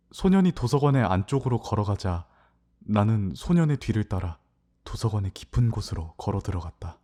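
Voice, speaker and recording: A man's voice: medium pitch, mellow, close to an everyday voice. A man playing a young farmer's son, narrating his own fantasy story in a reflective, literary way in an audio drama. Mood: calm narrating.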